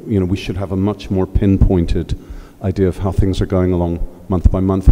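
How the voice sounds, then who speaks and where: Treasurer type, deep dark male voice with a flat delivery, halting with fillers, in a hall with long reverb. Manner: hesitant, many fillers, measured. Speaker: treasurer answering questions. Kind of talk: formal financial report at a meeting.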